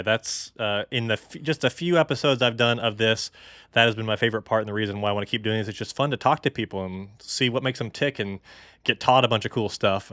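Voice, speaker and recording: A man's voice: lowish voice, a bit bright, no echo, studio quality. A man hosting a podcast, talking casually with many hesitant fillers. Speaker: podcast host. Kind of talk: casual interview chat.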